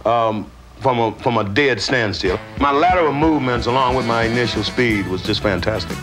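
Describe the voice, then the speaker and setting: A man, a former football player, speaking boastfully and in measured words in an interview. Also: mid-range voice. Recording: music behind.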